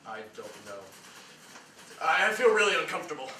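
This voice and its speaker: An actor playing an uneasy, suspicious man in a staged play reading, speaking haltingly. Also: a little high, very animated, bright, forceful tone. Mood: uneasy, wary. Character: uneasy, suspicious man cornered by a chatty stranger. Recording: distant mic, echoey room, clear hiss.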